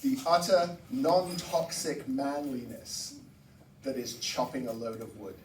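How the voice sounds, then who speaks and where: A man from Barbados doing a stand-up comedy set, swearing and jumping high in pitch for punchlines. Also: measured pace, roomy sound, distant mic, background noise. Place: comedy club stage with audience. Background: audience laughter.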